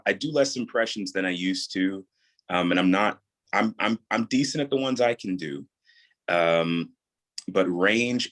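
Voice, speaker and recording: Very deep-voiced, soothing American man. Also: a bit bright. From New York, USA. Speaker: guest, audio drama creator and voice actor. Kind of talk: casual interview chat.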